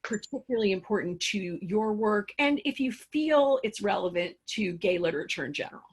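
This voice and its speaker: A woman's voice: mid-range voice, expressive, brisk, breathy edge. A woman moderating a panel discussion, asking long questions and restarting them mid-sentence.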